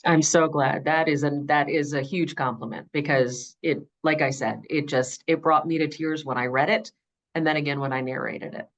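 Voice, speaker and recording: Effusive woman guest, slightly low voice. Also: steady tone, normal speed, highs cut off. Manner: effusive, emphatic, repeats phrases for emphasis. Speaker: audiobook narrator, interview guest. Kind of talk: earnest conversational interview.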